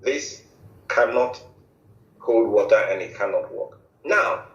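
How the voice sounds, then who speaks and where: Slow, emphatic Nigerian man on echoey line. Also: on the low side, animated, fairly bright tone. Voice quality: halting, many restarts and fillers. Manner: emphatic, repetitive declarations. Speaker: movement spokesman interviewed on radio. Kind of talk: formal radio statement. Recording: echoey, distant, dull lo-fi audio.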